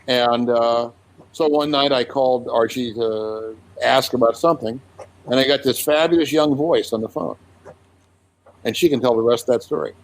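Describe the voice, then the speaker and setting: An older man in his seventies, a comic artist recalling his early career in a relaxed interview, unhurried with frequent restarts. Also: medium pitch, poor audio. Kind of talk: casual conversational interview.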